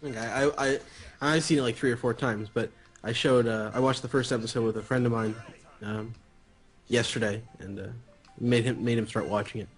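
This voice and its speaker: A man with a husky voice in casual conversation as co-host of a gaming show. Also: mid-range voice, steady tone, brisk, faint music behind.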